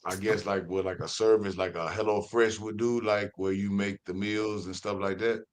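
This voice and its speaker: A man's voice: fairly low pitch, fairly even intonation, moderate pace. A man joining a live-stream panel as a new panelist, laid-back and rambling with fillers, over a noisy remote call. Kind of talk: casual panel chat.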